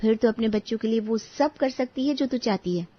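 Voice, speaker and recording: A woman voicing an outspoken adult friend in an animated story, talking in a frank, persuasive way. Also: a little high, fairly quick, dry recording, lightly acted. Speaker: voice actor. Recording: dull, dry studio recording.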